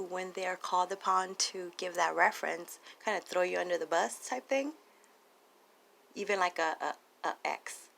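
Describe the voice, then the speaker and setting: Woman reading tarot cards casually, lots of vocal fry, kinda low voice, talking it through like a chat. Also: a bit bright. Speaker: tarot reader, channel host. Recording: audible background noise.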